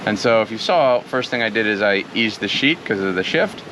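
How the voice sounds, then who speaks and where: A man with a lowish voice talks casually to the camera aboard a sailboat at sea. He is chatty and enthusiastic and uses plenty of fillers, and clear wind and water noise sits under his voice. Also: lively intonation, normal speed. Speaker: solo sailor and channel host.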